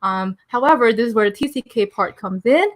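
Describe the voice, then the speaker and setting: A young woman chatting as a podcast guest, talkative and quick to laugh. She rambles a little and keeps things light and conversational. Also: a little high. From Philippines and Taiwan. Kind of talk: casual interview chat.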